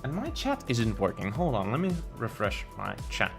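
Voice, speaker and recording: A man's voice: medium pitch, wildly swooping pitch, moderate pace, gravelly, over music. A young man from Finland with a Finnish accent, chatting casually on a game live stream and stumbling over his words. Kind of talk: casual live-stream chat while building.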